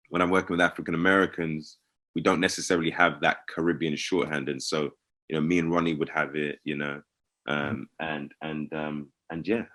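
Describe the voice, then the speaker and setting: A middle-aged man, chatting lively and emphatically in an interview. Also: extremely low pitch. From London, England. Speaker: actor, cast member. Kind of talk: casual interview chat.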